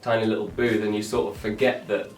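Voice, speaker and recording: Man from yorkshire, lowish voice, relaxed, roomy echo, faint music behind. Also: brisk, recorded from a distance. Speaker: audition finalist. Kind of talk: casual interview.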